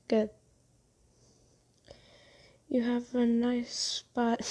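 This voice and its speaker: A kind, genteel vampire lady acted by a woman in an ASMR roleplay. Her voice sits fairly high but stays very even in pitch, with soft delivery. She soothes and gently teases, doting on her listener at an unhurried pace. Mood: soothing, coaxing. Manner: soothing, teasing, genteel endearments.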